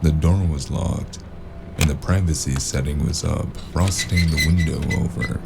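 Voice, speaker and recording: A man playing a weary, sardonic hard-boiled investigator, narrating a noir audio drama in a gravelly, deadpan way. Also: very deep voice, a little slow, loud music behind the voice, full character voice. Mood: brooding, wry. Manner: deadpan, dry, brooding.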